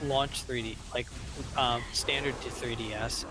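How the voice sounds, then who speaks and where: A man in his twenties co-hosting a game session, telling stories in a halting, meandering way. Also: mid-range voice, fairly even intonation, a crowd in the background, low-quality recording. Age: 20s. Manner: halting, meandering, restarts often. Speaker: co-host. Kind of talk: casual chat.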